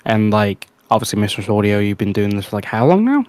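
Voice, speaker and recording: A man with a fairly low voice talks in a very dry, close recording. He offers critique in a casual livestream discussion, explaining himself carefully and with some hedging. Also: big pitch swings, fast, darkish tone, no echo. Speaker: guest giving critique on an audio production.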